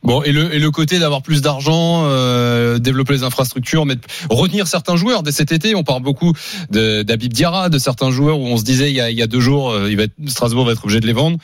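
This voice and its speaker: A man's voice: mid-range voice, quick pace, dry recording, studio quality. A man hosting a live sports radio show in a casual, informal style, with stop-and-start questions and a teasing touch. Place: dry radio studio.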